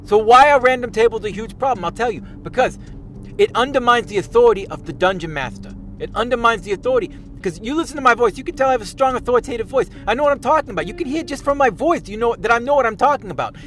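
A man's voice: very high voice, animated, fast talker, fairly bright tone. A man playing a pompous, self-important tabletop gaming expert who lectures in a rambling way, stumbling over restarts and repeating himself. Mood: smug. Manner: boastful and lecturing. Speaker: self-styled podcast expert. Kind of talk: parody monologue.

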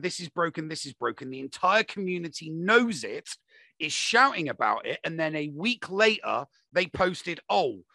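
A man's voice: high voice, vocal fry, bright, forceful tone, no room sound. A man in a casual, opinionated gaming discussion, talking emphatically and repeating himself to drive points home.

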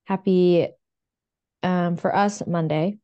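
A woman's voice: on the low side, fairly even intonation, takes it slowly, clear, resonant tone, muffled recording. A young woman co-hosting a podcast in a casual, playful chat, with a slightly runny nose. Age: young adult. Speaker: podcast co-host.

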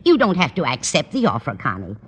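An actress playing a kindly, scatterbrained older landlady in an old radio comedy, dithery and well-meaning, with a husky voice. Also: sits low, huge pitch swings, a little fast, a bit bright, exaggerated voice. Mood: eager, muddled. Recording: reverberant vintage radio recording.

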